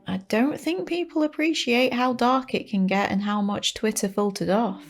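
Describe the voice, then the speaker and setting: A woman's voice: fairly high pitch, normal speed, faint music behind. A woman reading a written statement in voice-over.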